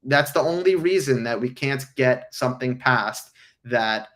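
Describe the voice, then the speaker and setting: Male livestream host, hoarse, earnest, lots of filler words, mid pitch. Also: animated, measured pace, a bit bright. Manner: frequent ums, earnest explanatory. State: hoarse from illness. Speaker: livestream host answering viewer questions. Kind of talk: casual livestream talk.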